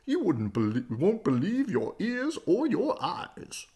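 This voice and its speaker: A man voicing an excitable newspaper headline crier in a storybook, showy and declamatory. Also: high voice, slow, pitched above the performer's own voice, heavily acted. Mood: hyped.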